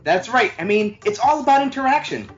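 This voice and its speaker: Man, scripted podcast promo, lively intonation, music bed, dull recording. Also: extremely high pitch, a little fast. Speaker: podcast co-host.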